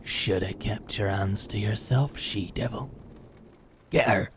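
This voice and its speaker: A man's voice: fairly low pitch, slow, background noise. A man playing a crude, menacing leader of medieval hired killers, sneering and boastful in a fantasy audio drama. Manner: sneering, boastful, rough colloquial talk.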